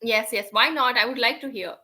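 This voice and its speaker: A woman's voice: on the high side, fairly quick, bright, forceful tone. A woman with an Indian English accent, an English teacher, answering interview questions in an explanatory way and often checking in with "right?".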